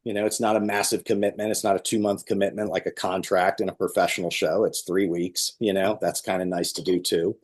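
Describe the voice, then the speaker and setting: A man's voice: sits low, flat delivery, brisk, hardly any pauses, husky. A man talking casually as a guest in an interview about his acting, explaining at length in a rambling way. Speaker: guest, amateur stage actor.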